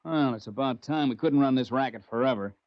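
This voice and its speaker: A man playing a gruff racket accomplice in a 1940s radio drama. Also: medium pitch, a little fast, no echo, natural voice. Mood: gruff, wary. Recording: muffled vintage radio recording.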